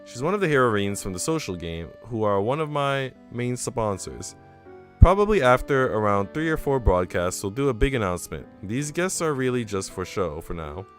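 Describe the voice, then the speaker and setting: A man's voice: mid-range voice, very animated, normal speed, natural voice. A man reading a visual novel aloud as an earnest, reflective young woman who hosts a radio show. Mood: proud, reflective. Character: earnest, self-doubting young woman radio host in love. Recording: music behind the voice.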